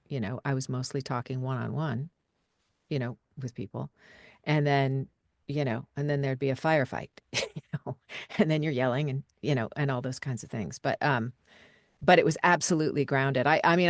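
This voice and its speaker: Low-voiced woman coaching on a call. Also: no room sound, studio quality. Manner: conversational and relaxed, with frequent fillers and light laughs. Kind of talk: live video-call Q&A, answering questions. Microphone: video call.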